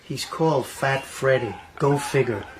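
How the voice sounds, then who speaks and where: An actor playing a surly New janitor in a radio drama, curt and sarcastic. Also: male voice, mid-range voice, measured pace, close to an everyday voice.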